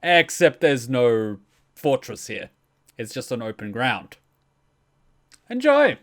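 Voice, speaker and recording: A man's voice: mid-range voice, wildly swooping pitch, slow pace. A man hosting a game reaction video, explaining step by step in casual, conversational commentary.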